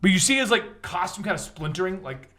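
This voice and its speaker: A high-voiced man runs a tabletop game as game master. His pitch moves around a lot, and he talks in casual, off-the-cuff banter while calling for rolls and narrating the action. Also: big pitch swings, brisk, pushed and bright.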